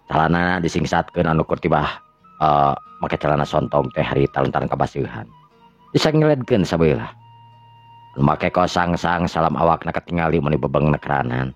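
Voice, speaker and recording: Sundanese guy telling a radio drama story, super deep voice, muffled dry sound w music behind. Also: lively intonation, brisk. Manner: conversational narration with hesitations and self-corrections.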